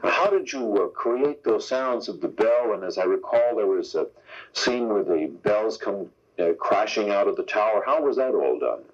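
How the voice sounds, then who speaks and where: Low, husky male radio interviewer. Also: animated, moderate pace. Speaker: radio show host, interviewer. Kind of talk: broadcast interview. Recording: dull lo-fi radio broadcast tape.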